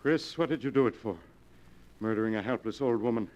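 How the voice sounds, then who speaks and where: A man's voice: mid-range voice, fairly quick, a little mellow, lo-fi sound, natural voice. An actor playing an upright man in a 1940s radio drama, speaking with urgent earnestness. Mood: earnest.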